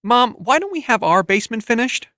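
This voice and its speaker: A man's voice: very high-pitched, sing-song, a little fast, pushed and bright, dry recording, clean studio sound, pitched above the performer's own voice, heavily acted. A man voicing a curious, earnest young boy in an audiobook, full of questions.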